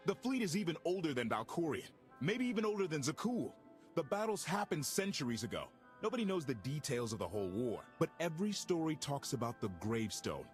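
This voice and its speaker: Sidekick adventurer: high voice, eager, emphatic, animated, wry video game performance. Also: male voice, fairly quick, soft background music. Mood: awed, excited. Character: excitable, wisecracking younger male adventurer. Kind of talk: video game cutscene acting.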